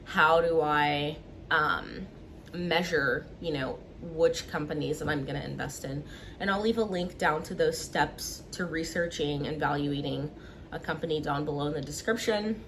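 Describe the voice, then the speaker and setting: A woman hosting an investing channel, talking casually to camera, congested and a little nasal from a cold, with a relaxed, chatty manner full of fillers. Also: low-pitched, normal speed, slight background noise. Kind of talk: casual explainer talk to camera.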